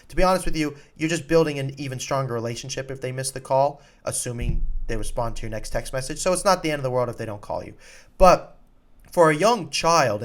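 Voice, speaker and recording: A man with a mid-range voice talks like a coach hosting an advice show. His delivery is informal and direct. He puts emphasis on important points and repeats them so they land. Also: mid-range voice. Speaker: college soccer recruiting coach and podcast host. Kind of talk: informal advice talk to students and parents.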